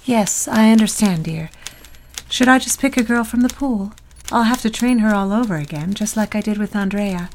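An actress playing a prim, fussy office secretary who speaks with faint reproach in an audio drama. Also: female voice, mid-range voice, normal speed, darkish tone, slight background noise, lightly acted, poor audio.

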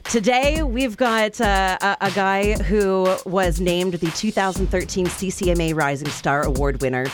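A woman's voice: mid-range voice, a little slow, hardly any pauses, music in the background. A woman co-hosting an interview show in a conversational presenting style. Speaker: show co-host.

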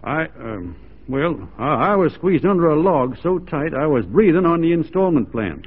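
A laconic, good-natured cowboy lawman in an old radio drama. His voice is husky and he talks in halting, folksy understatement. The recording is thin, tinny vintage radio. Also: fairly high pitch, sing-song. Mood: sheepish, wry. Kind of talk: 1940s radio drama acting.

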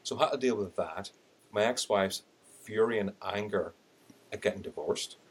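A man's voice: fairly low pitch, normal speed, slightly rough. A middle-aged man with an Irish accent, a podcast guest telling his story candidly and animatedly, acting out what he thought at the time. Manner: animated, acts out quoted thoughts with laughing asides. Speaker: podcast guest, sales and LinkedIn trainer.

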